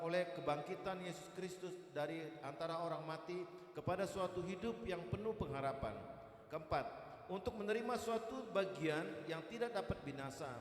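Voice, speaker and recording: A man, a guest evangelist speaking to a church in a chatty, jocular sermon style. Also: fairly high pitch. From East Kalimantan, Indonesia. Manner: chatty and jocular, with repeated "gitu" tags. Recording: echoey hall, long reverb.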